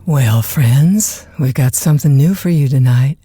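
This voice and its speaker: An actress playing a chatty, easygoing overnight radio disc jockey in an audio drama, with a folksy, informal manner. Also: female voice, rumbling low, a little slow, dark tone, a little hiss or hum. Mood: friendly on-air. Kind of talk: naturalistic audio drama acting.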